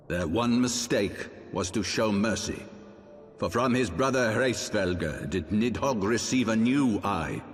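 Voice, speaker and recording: An actor playing a grave, weary older father and archbishop in a fantasy game, speaking in solemn, measured, archaic formal phrases. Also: on the low side, slight background noise. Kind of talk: theatrical fantasy game voice acting. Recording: long reverb, music underneath.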